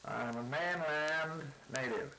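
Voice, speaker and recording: An older man with a bright, forceful voice works through vocabulary with a language speaker. He repeats each word back slowly, checking the sound, and asks simple questions about how to say things. A faint hiss sits under the old recording. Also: on the high side, poor audio. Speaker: interviewer learning native words. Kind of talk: word elicitation session.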